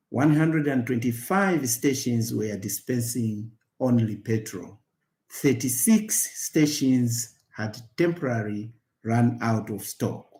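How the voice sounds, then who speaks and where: A man with a Zambian accent reading a formal government statement in a deliberate, official manner with halting phrasing. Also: medium pitch, mellow. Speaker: government minister.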